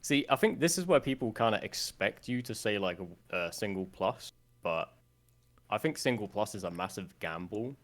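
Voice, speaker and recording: A young Swedish man with a fairly low voice, speaking English as his second language in a relaxed podcast chat. He thinks aloud as he goes, with a steady, easygoing delivery. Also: moderate pace. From Sweden. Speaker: guest.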